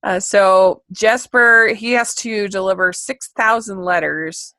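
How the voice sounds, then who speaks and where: A woman co-hosting a casual film discussion podcast, talking in a chatty, rambling way with halting delivery. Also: mid-range voice, pushed and bright, no echo. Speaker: podcast co-host reviewing animated films.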